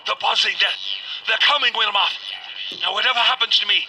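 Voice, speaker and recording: A man's voice: sits high, music in the background, natural voice. An actor playing a terrified but defiant man under siege, stammering through a recorded message in an audio drama. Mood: despairing. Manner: stammering, halting, pleading. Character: besieged, terrified rural man, defiant and desperate. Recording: noisy old home recording.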